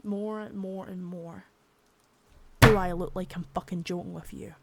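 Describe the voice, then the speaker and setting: A young woman doing an ASMR roleplay as a cold, contemptuous female boss of a drug ring, menacing and swearing, soft-spoken with bits of whisper. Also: on the low side, fairly even intonation, normal speed, gravelly, heavy background noise. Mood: cold contempt. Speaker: ASMR creator.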